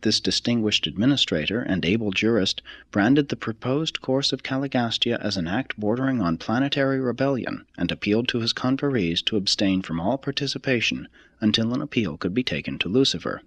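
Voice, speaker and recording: A man reads a religious text aloud as scripted narration, in a husky voice with a measured, formal and deliberate delivery. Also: lowish voice, expressive, fairly bright tone, no echo. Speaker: audiobook reader.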